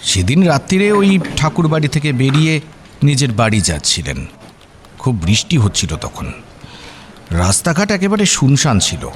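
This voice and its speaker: A man's voice: mid-range voice, sing-song, moderate pace, natural voice. A man playing an affable local police officer in an audio drama, talking in a genial, conversational way. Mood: matter-of-fact, recounting. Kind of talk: Bengali radio drama acting.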